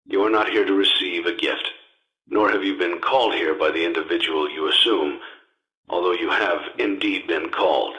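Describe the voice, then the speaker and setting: A man voicing a calm, grave instructor on cassette training tapes for a video game, speaking formally in a measured, matter-of-fact and ominous way. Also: low-pitched, breathy edge, full character voice. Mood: grave, solemn. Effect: cassette tape filter. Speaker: instructional tape narrator. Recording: dull, lo-fi cassette tape sound.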